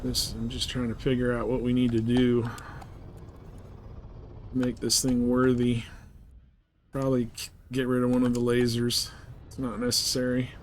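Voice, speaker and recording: A male game streamer talks casually over clear engine rumble and game noise. His pitch is average, and he muses aloud as he plays, half to himself and half to his viewers. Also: monotone, moderate pace, pauses often. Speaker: live streamer playing a space combat game. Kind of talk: casual live gaming commentary.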